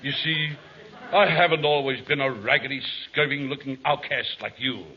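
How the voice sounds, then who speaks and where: A man's voice: mid-range voice, steady tone, normal speed, close to an everyday voice. An actor playing a raggedy vagrant convict who tells his tragic life in florid, theatrical speech, in 1940s radio comedy style. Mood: pompous, wistful. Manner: florid, theatrical, formal diction. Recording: muffled old radio broadcast recording.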